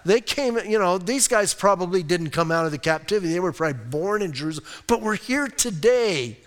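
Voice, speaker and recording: A male pastor preaching a conversational, emphatic sermon. He asks rhetorical questions and reads scripture slowly and deliberately. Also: high-pitched, lively intonation. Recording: reverberant hall sound.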